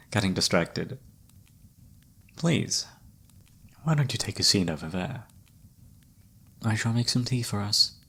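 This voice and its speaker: A man playing a flirty, theatrical travelling palm reader in a fantasy ASMR roleplay, teasing and dramatic with a breathy, husky voice. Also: on the low side, a bit bright, exaggerated voice.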